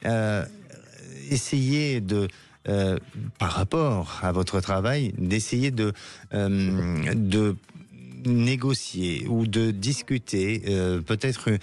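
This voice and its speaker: A man hosting a live radio call-in as a psychic, speaking gently and hesitantly with many fillers. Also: fairly low pitch, drawn-out words.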